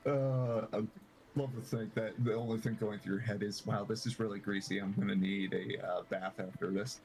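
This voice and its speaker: A man's voice: fairly low pitch, fairly even intonation, moderate pace, darkish tone, low-quality recording. A man in casual, joking table chat during a tabletop roleplay game.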